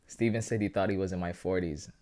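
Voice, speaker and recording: A man's voice: sits low, steady tone, fast talker, a little mellow. A man in his thirties chatting casually with viewers on a live trading stream, slangy and laughing often. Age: 30s. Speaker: livestreaming forex trader.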